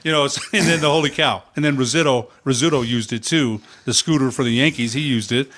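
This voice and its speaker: A man's voice: mid-range voice, a little fast, fairly bright tone. A man co-hosting a sports talk show, chatting casually in an agreeable, exclamatory way. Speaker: co-host and sports broadcaster. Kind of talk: casual chat.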